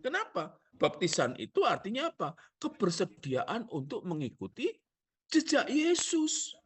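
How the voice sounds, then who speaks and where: Male pastor, Javanese accent, lively preaching with jokes and questions, husky tone. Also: high-pitched, a little slow. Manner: animated, rhetorical questions and jokes to the congregation. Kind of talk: sermon.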